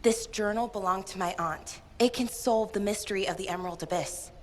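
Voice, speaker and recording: An actress playing an earnest, headstrong young adventurer in a video game, urgent and pleading in manner. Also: female voice, mid-range voice, a little fast, close to an everyday voice. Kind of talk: video game character dialogue.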